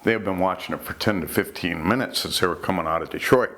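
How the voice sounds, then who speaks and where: A man's voice: deep voice, fast talker, a bit bright. A man retelling recorded incidents in an informal, conversational storytelling style, as the host of a talk. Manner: conversational, relays dialogue in reported speech. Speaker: host recounting UFO reports.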